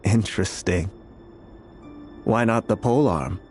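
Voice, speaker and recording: An actor playing a weary blinded prophet in a fantasy video game, eloquent, measured and resigned to fate. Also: male voice, lowish voice, a little mellow, faint music behind. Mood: knowing, probing. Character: blinded male royal prophet, fatalistic, weary and solemn. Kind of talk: dramatic video game voice acting.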